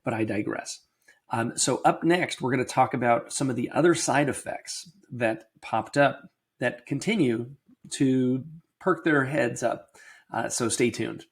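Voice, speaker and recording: A middle-aged man with a slightly crackly voice hosting a casual personal health podcast, talking candidly and explaining in a measured way. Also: medium pitch. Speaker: podcast host.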